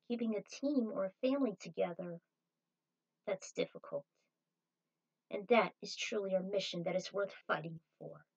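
A woman's voice: mid-range voice, vocal fry, darkish tone, lo-fi sound. Woman playing an earnest young space cowgirl. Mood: determined. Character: earnest young female bounty hunter, dreamy and devoted. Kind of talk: amateur story reading.

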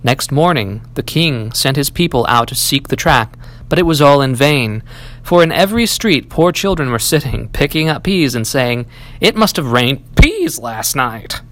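Man reading fairy tales, very animated pitch. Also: moderate pace. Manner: storybook delivery, acting out dialogue. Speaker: audiobook reader, library staff. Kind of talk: expressive scripted fairy-tale narration.

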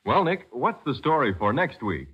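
A man's voice: medium pitch, sing-song, moderate pace, husky. An American man delivering scripted announcements in the style of 1940s radio. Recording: muffled old radio recording with music.

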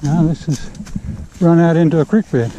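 A man's voice: a little high, moderate pace, dull audio, background noise. Easygoing man narrating a forest hike. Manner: easygoing, talking to camera while walking. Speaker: hiker vlogger. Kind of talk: casual hiking narration. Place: outdoors in a forest trail. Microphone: action camera carried while hiking.

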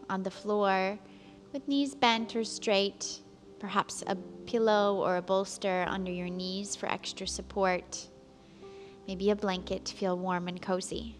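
A woman instructor leading a guided relaxation, speaking calmly and gently, with long pauses between soothing instructions. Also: medium pitch. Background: soft background music.

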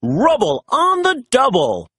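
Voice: high voice, huge pitch swings, slow pace, fairly bright tone, slightly rough, dry recording, clean studio sound, voice pushed up, full character voice. A storybook narrator voicing gung-ho young male rescue pups, calling out catchphrases eagerly.

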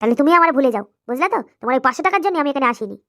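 High, pouting, demanding mistress played by a woman. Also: lively intonation, rapid-fire, pushed and bright, heavily acted. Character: demanding, sulky, greedy mistress of an old man. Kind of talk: amateur comedy cartoon acting.

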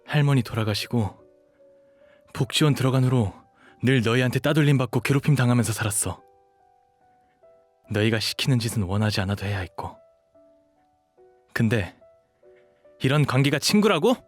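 A man's voice: mid-range voice, animated, moderate pace, pauses often, faint music behind, natural voice. A young man playing an earnest, protective dog shifter in a romantic audio drama. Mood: hurt, defiant. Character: gentle, devoted young dog shifter, wounded but protective.

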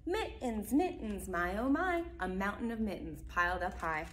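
A woman voicing a grumbling man who drives a school bus, slow and drawn out, in a storybook. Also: mid-range voice, animated, a little hiss or hum, close to an everyday voice.

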